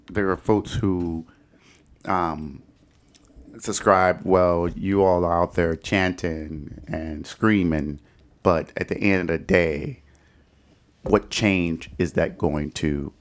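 Middle aged american guy hosting a chat podcast, pretty low voice. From Detroit, United States. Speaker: podcast host. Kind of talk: conversational discussion and interviewing.

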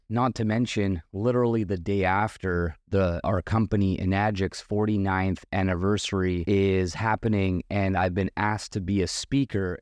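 A man hosting a solo podcast, earnest and motivational, his voice a bit hoarse from losing it, with a slight cough. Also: sits low, a little mellow, dry recording, clean studio sound. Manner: earnest, motivational, with many restarts. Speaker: podcast host.

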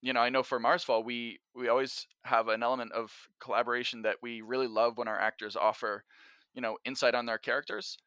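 A man's voice: mid-range voice, level tone, brisk, bright, forceful tone, no echo. A man speaking as a podcast guest, giving advice in a casual, conversational way. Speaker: podcast guest, actor and writer-director.

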